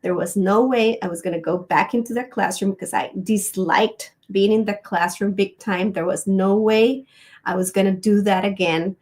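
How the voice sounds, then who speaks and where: A woman's voice: mid-range voice, fairly even intonation, moderate pace, darkish tone. A middle-aged woman from Rica, hosting a live educational webinar. She talks in a friendly, personal way, telling stories with frequent ums. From Costa Rica.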